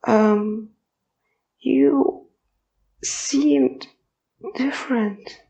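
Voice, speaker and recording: A woman voicing a shy, gentle, worried ghost in an audio drama, speaking hesitantly. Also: on the high side, gravelly, husky, exaggerated voice, rough recording. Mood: timid, concerned. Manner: hesitant, halting. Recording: loud noise behind voice.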